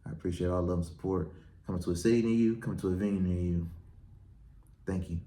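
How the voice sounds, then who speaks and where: A man's voice: deep voice, steady tone, fast, mellow. A man who works as a wedding and event DJ, hosting his own show and giving casual, confident advice with a strong, projecting voice. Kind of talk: casual advice talk to camera.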